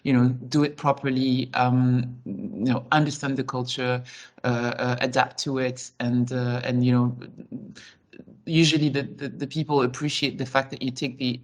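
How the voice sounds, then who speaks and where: A woman's voice: rumbling low, little pitch movement, moderate pace. A woman who grew up in Switzerland and Senegal, answering interview questions as a company chief executive in a frank, reflective, loosely phrased way.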